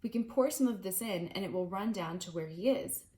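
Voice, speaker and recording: A woman's voice: medium pitch, brisk, mellow, close to an everyday voice. A woman reading aloud, voicing an eager, helpful young boy.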